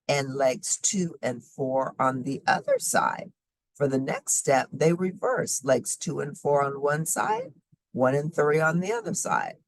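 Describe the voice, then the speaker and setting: A woman reading a children's nonfiction book aloud in a deliberate, explanatory way, emphasizing key words. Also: rumbling low, dry recording. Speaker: host reading children's science books aloud.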